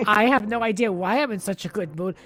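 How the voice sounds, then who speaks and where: A man's voice: sits high, fairly quick, fairly bright tone. A man hosting a podcast in casual chat, upbeat and informal, often saying "like". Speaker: podcast host.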